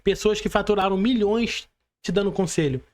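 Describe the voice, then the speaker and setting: A man's voice: high voice, normal speed, darkish tone. A Brazilian man hosting a casual podcast, speaking colloquially to the audience as friends and often adding little tag questions. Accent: Brazilian Portuguese. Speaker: podcast host.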